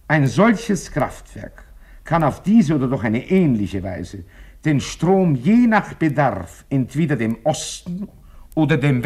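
An actor playing an impassioned expert giving an emphatic, didactic address in a 1950s radio drama. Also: male voice, on the high side, a little mellow, slightly rough, heavily acted. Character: impassioned male expert lecturing on European electricity.

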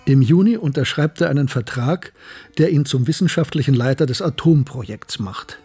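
An actor playing a sober male narrator who recounts wartime history in a measured, factual way, with a husky voice. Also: mid-range voice, expressive, mellow, soft background music. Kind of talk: scripted narration in a radio play.

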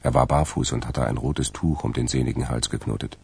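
A man with a gravelly, creaky voice, narrating a radio crime drama from a script. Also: a bass voice, steady tone, brisk, a little mellow, full character voice.

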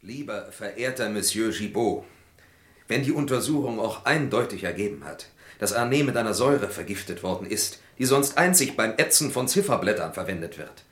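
An actor playing an accused lab worker in a vintage radio crime drama, formal, hesitant and protesting. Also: male voice, lowish voice, expressive, moderate pace, a bit bright. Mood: defensive, explaining. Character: accused male lab worker, defensive and evasive. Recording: roomy old radio play recording.

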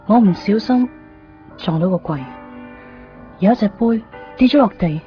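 A woman's voice: mid-range voice, a little slow, faint music behind, natural voice. An actress playing a melancholy young woman in a wistful Cantonese radio drama monologue. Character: melancholy young woman quietly leaving her love behind. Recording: dull lo-fi 1990s radio.

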